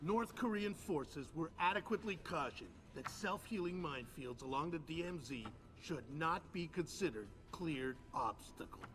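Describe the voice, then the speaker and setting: An actor playing a hawkish, impatient military official in a video game, blunt and forceful. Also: male voice, fairly high pitch, expressive, moderate pace, few pauses, soft background music. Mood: stern. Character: hawkish, impatient male American military official demanding action. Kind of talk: video game cutscene acting.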